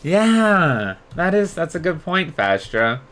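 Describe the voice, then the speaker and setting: Animated, bright-voiced male streamer. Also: on the high side, slow. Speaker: streamer host reading aloud. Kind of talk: expressive book readalong with casual chat.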